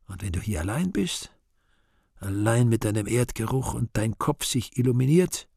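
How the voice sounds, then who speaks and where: An actor playing a bitter, self-loathing yet proud devil in a radio play, gravelly and husky, brooding theatrically with sudden swells and hushes. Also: male voice, medium pitch, animated, moderate pace, dry recording, studio quality. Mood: defiant, brooding. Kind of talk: German radio play acting.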